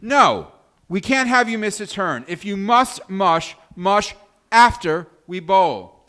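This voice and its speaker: A man's voice: high-pitched, lively intonation, slow, sharp tone, full character voice. A man playing a bossy, scornful, competitive teammate with blunt scolding delivery at a live comedy table read. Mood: competitive, irritated.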